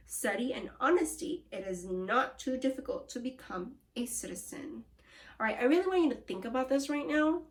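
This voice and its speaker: High-voiced woman teaching young children. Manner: encouraging, prompting listeners with questions and cues. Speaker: elementary school teacher. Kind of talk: read-aloud lesson for young children.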